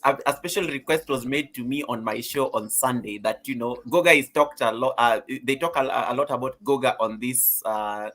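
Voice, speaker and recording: A man's voice: mid-range voice, sharp tone. A middle-aged man with a Kenyan accent, introducing himself casually on a livestream with chatty, playful asides. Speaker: guest on a livestream panel, content creator.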